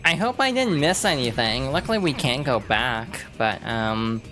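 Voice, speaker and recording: A woman's voice: a bass voice, expressive, moderate pace, fairly bright tone. A woman streamer rambling through casual let's-play commentary, with lots of fillers and restarts. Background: game music.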